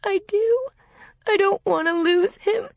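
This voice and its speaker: A naive young bride-to-be, acted by a woman narrator, speaks high and tearfully. She pleads and defends herself with stubborn emotion, in a close, dry storybook recording. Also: expressive, normal speed, no room sound. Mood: sobbing, desperate.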